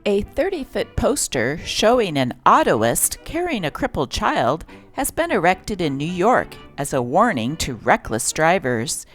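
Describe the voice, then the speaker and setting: Low-voiced woman reading aloud, slowly. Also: big pitch swings, faint music behind. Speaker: channel host and narrator. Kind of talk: reading old newspapers and ads aloud.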